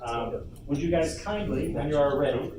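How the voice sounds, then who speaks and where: Man, workshop host, casual presenting style, hesitant pauses, distant mic, background room noise. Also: a little high, expressive. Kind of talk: informal convention workshop presentation. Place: indoor convention panel room. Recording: roomy, distant mic, noisy convention room.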